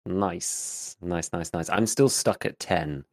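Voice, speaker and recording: A man with a lowish voice and a slight gravel co-hosts a casual gaming podcast. He rambles through his points, leaning on filler words as he goes. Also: slow, darkish tone. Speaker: podcast co-host, gaming YouTuber. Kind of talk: casual chat.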